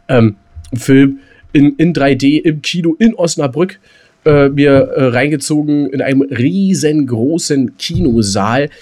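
A man's voice: on the high side, unhurried, a little mellow. A man co-hosting a podcast, chatting casually about films in colloquial slang with some mild swearing. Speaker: podcast co-host. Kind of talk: casual chat, film talk.

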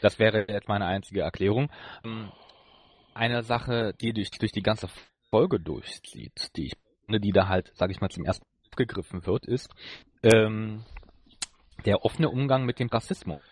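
A man co-hosting a podcast in casual conversation, recounting things in a hesitant, halting way. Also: on the low side, husky. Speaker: podcast co-host. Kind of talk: casual chat reviewing an audio drama.

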